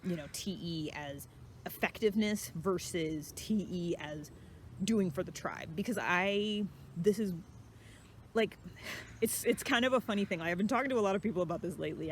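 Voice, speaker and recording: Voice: mid-range voice, brisk, slight background noise. A host talking casually and unscripted, explaining things in a rambling way that circles back and qualifies points. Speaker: host of a personality typology series.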